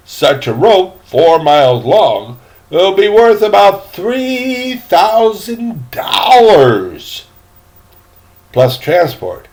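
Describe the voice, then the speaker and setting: Man acting a slow, pedantic old-salt rope splicer. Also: mid-range voice, a little mellow. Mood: matter-of-fact, precise. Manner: emphatic, deliberate, instructive. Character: roly-poly seaman rope splicer, pedantic, patient, gruff lecturer. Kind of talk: acted storybook dialogue.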